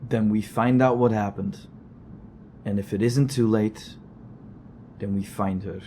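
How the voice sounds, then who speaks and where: An actor plays a steady, supportive male friend in a horror audio drama. His voice is soft-edged and mellow, with a faint hum of car and road behind it. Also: fairly low pitch, unhurried, close to an everyday voice. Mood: gentle, reassuring.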